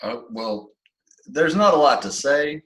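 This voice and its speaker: A man's voice: medium pitch, lively intonation, fairly bright tone. Dude playing a shifty ex-teacher in his 50s, mumbles, lots of uh's. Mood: evasive. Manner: mumbling, evasive, halting with many "uh"s. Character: 55-year-old male ex-sixth-grade teacher, defensive. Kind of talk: improv comedy sketch.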